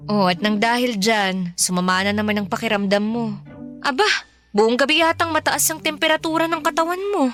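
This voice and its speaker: Actress doing a filipino radio drama mom, high voice, tender but scolding, soft music behind. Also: female voice, normal speed, natural voice. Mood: worried, firm. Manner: tender, expressive, motherly scolding. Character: caring, teasing, worried Filipino mother of a sick son.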